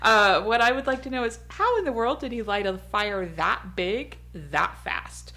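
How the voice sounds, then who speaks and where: A woman with a husky voice, co-hosting a podcast and recapping a TV episode in chatty talk with frequent laughs. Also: a little high, animated, moderate pace, sharp tone. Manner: chatty, laughs often, many fillers. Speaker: podcast co-host. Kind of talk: casual chat recapping a TV episode.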